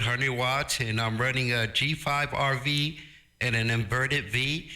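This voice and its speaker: Very bright, punchy-voiced man chatting. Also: mid-range voice. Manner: informal, radio jargon, agreeable. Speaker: amateur radio operator. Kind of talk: casual radio chat. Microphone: close studio dynamic microphone with audio processing.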